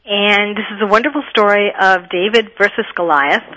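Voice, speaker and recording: A woman's voice: mid-range voice, normal speed, pushed and bright, dull audio. A woman hosting a radio talk show as a psychiatrist, chatty and upbeat and laughing often.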